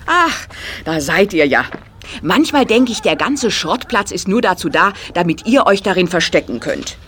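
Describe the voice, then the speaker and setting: An actress playing a brisk, scolding but fond aunt in an audio drama for young listeners. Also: female voice, on the low side, sing-song, a little hiss or hum. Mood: mildly exasperated. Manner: calls out loudly, scolding and bustling.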